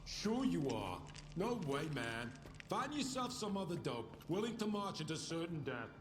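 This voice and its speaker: A skeptical male stalker in a game, dismissive and sardonic. His slightly high voice moves in lively turns and rings with echo. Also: normal speed. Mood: scornful. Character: skeptical, wary stalker refusing a risky job. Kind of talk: video game dialogue.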